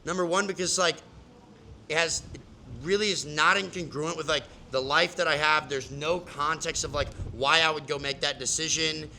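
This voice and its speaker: A man's voice: a little high, steady tone, moderate pace, vocal fry, pushed and bright, a little hiss or hum. A man coaching a client in a casual, emphatic way, full of "like" and restarts. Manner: casual, filler-heavy, emphatic explaining. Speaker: business coach. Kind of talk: live coaching session.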